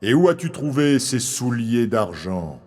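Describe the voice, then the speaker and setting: A man voicing a mighty, pompous wizard in a storybook cartoon, speaking slowly and imposingly. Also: big pitch swings. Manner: slow, pompous, booming. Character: mighty, fearsome wizard, a giant floating head. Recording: long hall reverb, music underneath.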